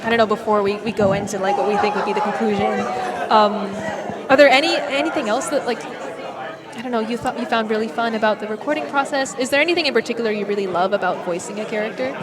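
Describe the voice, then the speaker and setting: A woman's voice: a little high, fast. A woman interviewing a guest at an esports event, friendly and a bit hesitant, with many fillers. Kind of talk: casual interview. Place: event venue with crowd. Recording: loud crowd behind.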